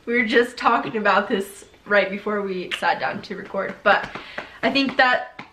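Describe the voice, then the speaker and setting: A woman's voice: mid-range voice, moderate pace, pushed and bright. A young woman from Ukraine chatting casually with her husband in a home vlog, laughing often. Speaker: vlogger. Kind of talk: casual couple Q&A chat. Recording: echoey room, faint noise.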